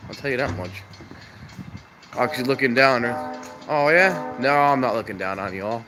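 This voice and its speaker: A man's voice: mid-range voice, moderate pace, music in the background. A man with a stuffed-up nose chatting casually as a train-spotting vlogger outdoors at a railroad crossing, filming on a camera mic. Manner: rambling, chatty, easygoing. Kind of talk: casual live-stream chat. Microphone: handheld camera mic, wind noise. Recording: loud traffic and outdoor noise.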